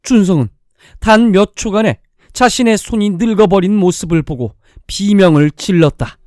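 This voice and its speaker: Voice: medium pitch, moderate pace, a little mellow, no echo, studio quality. A storyteller reading a dramatic science-fiction tale in a scripted narrating style. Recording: music under the voice. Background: background music.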